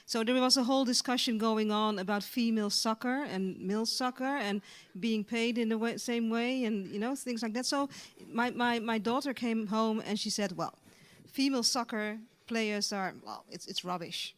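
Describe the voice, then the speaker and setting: A woman's voice: a little high, moderate pace. A woman moderating a panel, conversational and repeating words as she starts. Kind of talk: panel discussion remarks.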